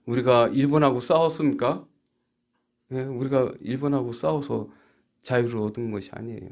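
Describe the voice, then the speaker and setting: A man from South Korea preaching a church sermon as a pastor, haltingly, moving between quiet asides and emphatic rhetorical questions. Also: medium pitch, fairly even intonation.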